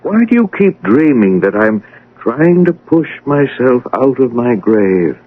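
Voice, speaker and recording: An actor playing a gentle, apologetic older father speaking from beyond death in an old-time radio drama, mild and patient. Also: medium pitch, sing-song, a little slow, narrow, tinny audio. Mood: calm, wounded. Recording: band-limited radio drama recording.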